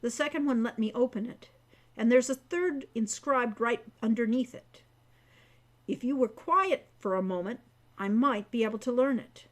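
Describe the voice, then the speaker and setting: A woman voicing a self-assured, irritable teenage boy wizard in an audiobook, soft and matter-of-fact. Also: fairly high pitch, moderate pace, close to an everyday voice. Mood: matter-of-fact, annoyed. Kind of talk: audiobook character voice.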